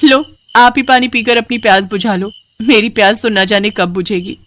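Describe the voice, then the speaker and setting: A woman's voice: mid-range voice, steady tone, moderate pace, fairly bright tone, close to an everyday voice. A woman voicing an indignant young bride in a family cartoon, in a complaining, pleading manner.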